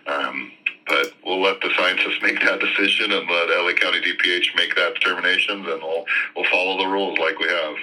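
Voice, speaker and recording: An American man, an official, answering interview questions off the cuff in a measured, hedging, conversational way. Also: low voice, steady tone, sharp tone, poor audio. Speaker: school district official being interviewed.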